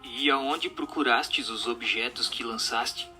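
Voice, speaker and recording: An actor in a radio drama playing a formal, inquisitive séance questioner and narrator who speaks in a measured, scripted way. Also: male voice, medium pitch, bright, forceful tone. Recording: music under the voice.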